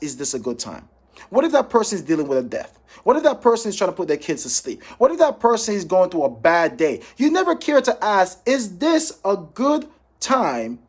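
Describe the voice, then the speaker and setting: High-pitched man coaching with emphasis. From Jamaica. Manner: emphatic, repeats key phrases, checks in with listeners. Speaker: financial professional coaching sales calls. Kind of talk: informal motivational training talk with role-played phone examples.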